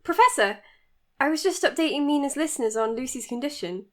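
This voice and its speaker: Earnest, higher-voiced woman doctor. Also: animated, brisk, a bit bright. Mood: deferential, curious. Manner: hesitant, formal, self-conscious. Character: earnest, slightly awkward woman doctor recording podcast updates. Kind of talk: audio drama acting as a podcast monologue.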